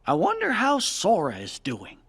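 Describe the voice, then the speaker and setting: A man voicing a small, earnest, excitable cartoon cricket in a video game, full of wonder. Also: high voice, moderate pace, vocal fry, husky, heavily acted. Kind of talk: animated game voice acting.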